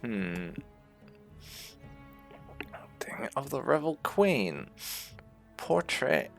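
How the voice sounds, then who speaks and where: Low, gravelly gamer with wildly swinging pitch. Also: female voice, big pitch swings, slow pace. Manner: musing aloud, often murmuring to self. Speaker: gaming video host. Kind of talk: casual gameplay commentary. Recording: music behind the voice. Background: game music.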